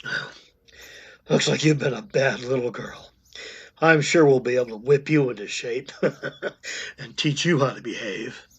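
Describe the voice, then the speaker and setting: An actor playing a leering, patronizing, sinister man of authority in an audio drama, with a husky voice. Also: medium pitch, unhurried, long pauses, fairly bright tone. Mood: gloating menace. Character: leering, menacing male authority figure.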